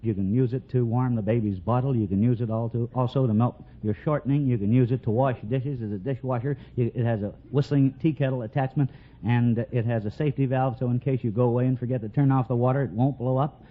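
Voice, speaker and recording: A man, a quiz contestant, answering politely with earnest sales-pitch explanations. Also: steady tone, fast. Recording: muffled, hissy 1940s radio recording.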